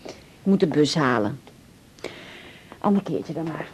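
A woman's voice: deep voice, animated, unhurried. A woman playing a fussing, hesitant middle-aged mother in a naturalistic radio drama. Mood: flustered, fond. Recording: clear background noise.

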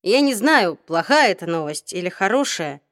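Спокойный ироничный мальчик-вампир в озвучке чтицы, голос ниже обычного, сухой звук. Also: female voice, medium pitch, big pitch swings, normal speed, a bit bright, no echo, clean studio sound. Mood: serious. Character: teenage vampire boy, calm and wry. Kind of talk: audiobook reading.

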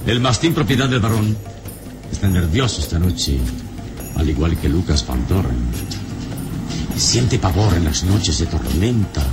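A calm, wise hero of an old radio adventure serial. The voice is low and swings widely in pitch, solemn and measured in delivery. Dramatic music plays behind it. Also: male voice. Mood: mysterious, resolute. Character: wise, calm, heroic male adventurer, grave and mysterious.

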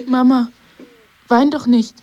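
A woman's voice: high-pitched, level tone, slow pace, mellow, muffled recording. An actress playing a lonely, bullied schoolgirl who prays and pleads, in a radio drama. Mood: worried.

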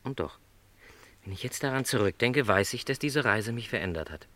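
A man's voice: medium pitch, animated, moderate pace, a bit bright, close to an everyday voice. An actor playing a lonely, dreamy middle-aged travelling salesman in a radio drama, speaking in reflective, rhapsodic literary phrases. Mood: wistful, musing.

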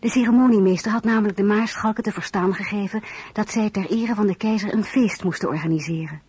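An actress playing a composed, polite young marshal's wife in an audio drama, formal and restrained. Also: female voice, mid-range voice, fast talker, darkish tone, breathy edge, dry recording. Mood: reflective. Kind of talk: audio drama narration and dialogue.

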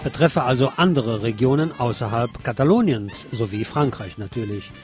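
A man's voice: medium pitch, animated, moderate pace, a little mellow, soft background music. A man hosting a radio news programme, reading reports and adding his own commentary. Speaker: radio host.

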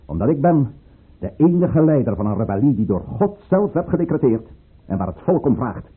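An actor playing a ruthless, fervent count who leads a conspiracy, with declamatory, commanding delivery in a Dutch radio play. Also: male voice, mid-range voice, very animated, a little fast, breathy edge. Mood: fervent, forceful. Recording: muffled, narrow-band old radio recording.

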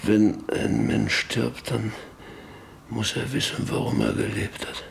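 An actor playing a broken, guilt-ridden rancher in a radio play, halting and weary, sinking into whispers. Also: male voice, fairly low pitch, sing-song, creaky, slight background noise, exaggerated voice. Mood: desolate, pleading. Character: broken, guilt-ridden male rancher facing his end. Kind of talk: German radio play acting.

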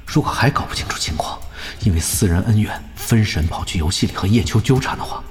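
An actor playing a proud, scheming young male pro gamer in an audio drama, speaking in a calculating, deliberate way. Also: lowish voice, lively intonation, faint music behind, close to an everyday voice. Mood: brooding resolve.

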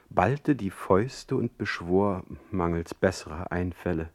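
Radio drama: a middle-aged exiled poet, lowish voice, sardonic wit, ornate and mocking delivery. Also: male voice, gravelly, full character voice. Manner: mocking, eloquent, ornate irony. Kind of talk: radio play acting with letter narration.

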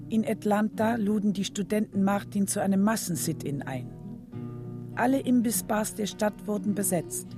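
Eine Schauspielerin spielt in einem Hörspiel eine nachdenkliche Mutter, die ernst und gemessen aus ihrem Leben erzählt. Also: female voice, medium pitch, natural voice. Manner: measured, serious. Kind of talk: scripted radio drama narration.

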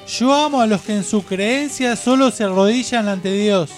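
A man's voice: sits high, normal speed, faint music behind. A man with a Rioplatense Argentine accent hosting a radio show in a casual, chatty style. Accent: Rioplatense Argentine Spanish.

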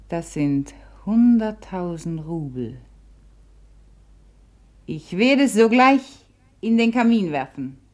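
An actress in a 1950s German radio drama playing a proud, wounded, reckless young society beauty, theatrical and commanding, with bitter resignation underneath. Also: female voice, on the low side, heavily acted.

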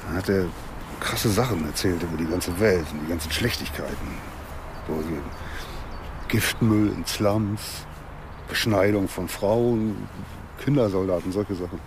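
Jobless working guy in a crime radio play, lowish voice, mulling stuff over, ambient rumble behind. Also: unhurried. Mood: musing. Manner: colloquial, thinking aloud. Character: plain-spoken jobless workmate of a dead man, mulling him over. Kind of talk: naturalistic German radio crime drama.

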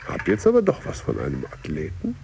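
A man's voice: very deep voice, wildly swooping pitch, brisk, creaky, mellow, slight background noise, full character voice. An actor playing a weary male sales rep who recites his fitness resolutions in clipped, slogan-like phrases, in a radio play. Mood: self-satisfied.

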